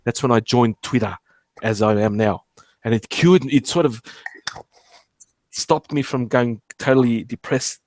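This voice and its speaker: A man's voice: medium pitch, steady tone, unhurried, long pauses, no echo. Australian man, late forties, stammering and blunt, dry close mic. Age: 40s. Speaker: host. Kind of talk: casual chat.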